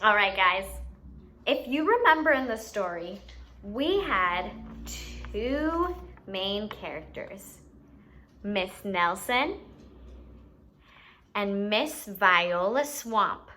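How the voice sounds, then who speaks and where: A woman's voice: medium pitch, lively intonation, very slow, pauses often, bright, forceful tone. A woman hosting a children's educational activity, playfully giving instructions and asking questions as if to young kids. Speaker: children's story time host and teacher.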